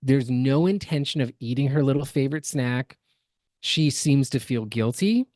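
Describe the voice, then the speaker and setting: A man's voice: mid-range voice, moderate pace, a little mellow, no room sound, clean studio sound. A man co-hosting a podcast, giving analytical, opinionated reaction commentary with emphatic delivery. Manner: analytical, emphatic, builds word lists. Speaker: podcast co-host.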